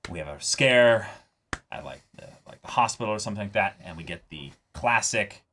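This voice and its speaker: A man co-hosting a reaction show, talking casually and excitedly in an animated, rambling way and acting out imagined dialogue. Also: fairly low pitch, brisk, a little hiss or hum. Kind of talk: casual excited chat about an anime episode.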